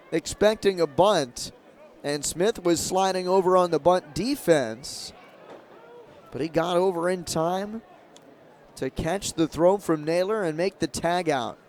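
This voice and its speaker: A man doing live radio play-by-play of a baseball game, describing the action in short, clipped, measured phrases. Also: sits high, a little mellow. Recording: clear outdoor ballpark noise behind.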